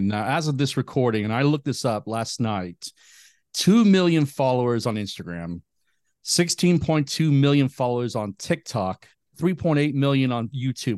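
A man hosting a podcast, asking questions in a relaxed, conversational way. His voice sits at an ordinary middle pitch. The recording is dry and close, with no room sound around it. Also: dry recording. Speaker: podcast host. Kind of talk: interview questions, casual.

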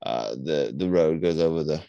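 A man's voice: extremely low pitch, normal speed, creaky, dark tone, smooth, no echo, muffled recording. A man hosting a podcast interview, talking casually and rambling, often searching for words and restarting. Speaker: podcast host.

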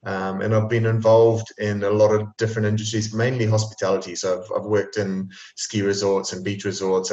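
A man in his forties with a New Zealand accent, a coffee trainer talking through his story as a podcast guest, relaxed and full of ums. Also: lowish voice, echoey room, dull audio.

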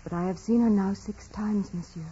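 An actress playing a devout, gentle peasant girl, polite and steadfast, with a soft husky voice in a 1940s radio drama. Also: medium pitch, steady tone, moderate pace, slight background noise, lightly acted. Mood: earnest. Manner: polite, earnest, simple. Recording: dull vintage radio broadcast.

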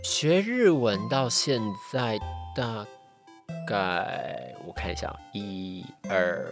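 A woman's voice: rumbling low, animated, extremely slow. A woman with a Taiwanese Mandarin accent chatting casually as a podcast guest. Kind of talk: casual chat. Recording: music playing underneath.

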